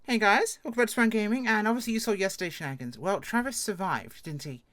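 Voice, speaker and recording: Brief: female gaming host, very quick delivery, casual improvised roleplay chat. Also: mid-range voice, a bit bright.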